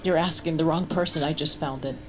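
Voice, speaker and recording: Woman as a low-voiced, guarded young mother. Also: fairly even intonation, fairly quick, natural voice. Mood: uneasy, probing. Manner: guarded, hesitant. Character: guarded, troubled mother in her thirties with a secret past. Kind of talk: audio drama acting and first-person narration. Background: music.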